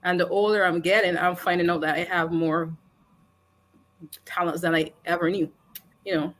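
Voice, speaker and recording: A woman with a Barbadian accent, speaking as a radio guest. Her voice sits a little low, and she talks about herself in an unhurried, reflective way. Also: level tone, a bit bright. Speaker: guest, magazine contributor. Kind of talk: radio interview.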